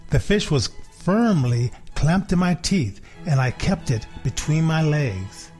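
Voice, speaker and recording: A man reading a children's storybook as a sly, contented big house cat, in a measured, deliberate storytelling way. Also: a little high, expressive, a little mellow, faint music behind. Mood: sneaky, earnest.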